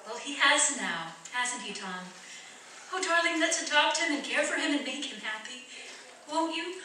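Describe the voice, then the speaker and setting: A woman's voice: sits high, lively intonation, moderate pace, sharp tone. A woman playing a tender, take-charge wife in old-time radio drama style. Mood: warm, eager. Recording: echoey stage, distant mic, faint noise.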